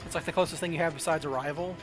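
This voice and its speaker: A man's voice: fairly high pitch, fairly even intonation, very fast, music in the background. A man with a Southern American accent chatting casually as a game streamer, thinking aloud and questioning himself as he plays a card game. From southern United States. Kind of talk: casual livestream chat while playing a card game.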